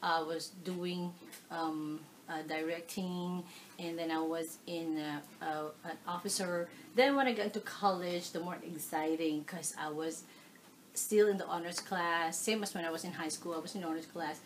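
A middle-aged woman from the Philippines with a Filipino accent, answering oral history questions in a living room, animated, with many fillers. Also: lowish voice, moderate pace, a little hiss or hum, rough recording. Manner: animated, gestures while talking, frequent fillers. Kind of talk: casual oral history interview. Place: living room, seated on a leather sofa.

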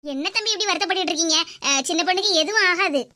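A woman voicing a kindly neighbor who calmly comforts a worried family. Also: extremely high pitch, very fast. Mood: reassuring. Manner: soothing, reassuring.

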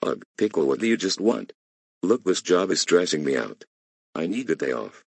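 Guy doing a fed-up whiny worker, super deep gravelly voice, dry sound. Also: animated, moderate pace, darkish tone, no echo, full character voice. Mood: weary. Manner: whining, complaining. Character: stressed male employee, whiny and defiant. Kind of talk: amateur voice acting.

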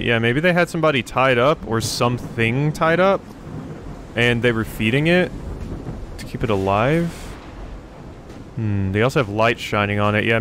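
A man talks casually through a video game, thinking aloud. His voice is medium in pitch, with faint game ambience behind it. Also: fairly bright tone, a little hiss or hum. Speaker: let's play commentator.

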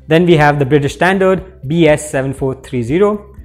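A man in his thirties presenting a scripted technical explainer in a workshop, speaking with steady, clear delivery. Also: fairly high pitch, moderate pace, faint music behind. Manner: clear, steady teaching delivery with hand gestures. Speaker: presenter for an electrical equipment maker. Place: indoor workshop with electrical panels.

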